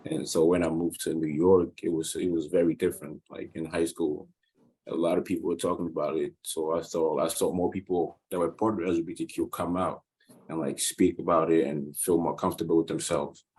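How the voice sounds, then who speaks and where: A man's voice: low voice, flat delivery, fairly quick, a little mellow, a little hiss or hum, low-quality recording. A young man from Cameroon, a student, speaking plainly and reflectively in a class discussion.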